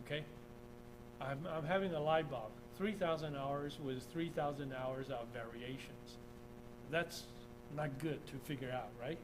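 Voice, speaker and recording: A man from Taiwan giving an informal engineering lecture over slides, often checking in with "okay?" and filling pauses with "uh". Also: a little high. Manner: informal, repeats "okay?", many "uh" fillers. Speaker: engineering professor. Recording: steady background hum.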